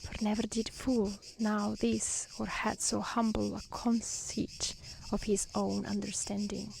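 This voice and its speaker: An honest, kind young woman from a fairy tale read by a woman. She speaks softly and politely at a leisurely pace, with some vocal fry. The manner is earnest throughout. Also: medium pitch, monotone, a little slow, low-quality recording. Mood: kind, reassuring. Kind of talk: soft-spoken fairy tale reading.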